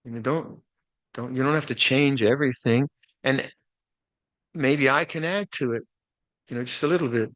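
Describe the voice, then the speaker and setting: An older man, a Buddhist monk, giving opening instructions at a meditation retreat in a reflective, plainspoken way with gently self-deprecating humor. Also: mid-range voice, moderate pace, pauses often, no room sound. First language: English. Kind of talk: dharma talk, opening instructions.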